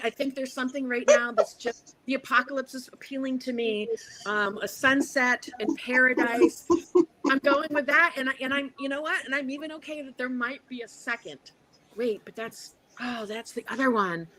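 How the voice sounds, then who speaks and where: A woman's voice: high-pitched, normal speed, sharp tone, no room sound, rough recording. A middle-aged woman bantering casually as a dating game contestant, playful and full of exclamations.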